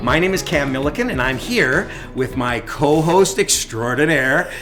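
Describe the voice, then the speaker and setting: A man's voice: on the high side, lively intonation, normal speed, music in the background. A middle-aged or older man with a Canadian accent co-hosting a casual podcast chat in an exuberant, jokey, exclamatory way. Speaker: podcast co-host. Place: coffee shop.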